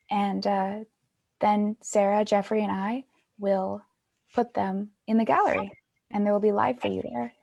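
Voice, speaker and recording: A woman's voice: mid-range voice, vocal fry, mellow. A woman in her twenties guiding an online creative workshop for young people in an encouraging, reflective way. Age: 20s. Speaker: workshop facilitator.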